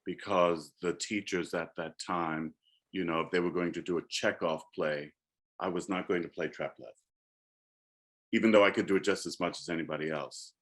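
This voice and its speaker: Older man, very low bass-baritone, reflective panel talk, casual. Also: normal speed. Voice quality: deep bass-baritone speaking voice. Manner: reflective, turns animated in storytelling. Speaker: alumni panelist. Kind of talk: panel discussion.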